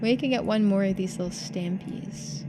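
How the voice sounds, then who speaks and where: A woman's voice: medium pitch, moderate pace, noisy background. A woman giving casual game playthrough commentary, musing aloud and addressing her viewers. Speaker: game streamer. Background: game music.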